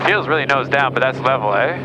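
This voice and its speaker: A man's voice: medium pitch, animated, fast. A man chatting casually and asking questions as a learner pilot, on a headset in an aircraft cockpit. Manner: curious, questioning. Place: inside a small turboprop cockpit in flight. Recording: aircraft cabin noise throughout. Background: turboprop engine drone.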